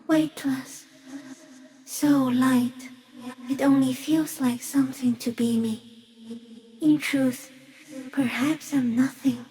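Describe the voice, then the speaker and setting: Game creature: a detached, curious stick insect. High, mellow, soft and halting. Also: female voice. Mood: wistful, weightless. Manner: slow, halting, deliberate musing in short fragments. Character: ancient, serene, otherworldly giant stick insect, detached and curious. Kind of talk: video game character voice acting.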